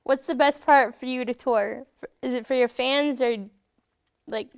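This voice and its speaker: Female interviewer from Maine. High, smooth voice, halting and casual. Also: fairly even intonation. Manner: halting, restarts her questions.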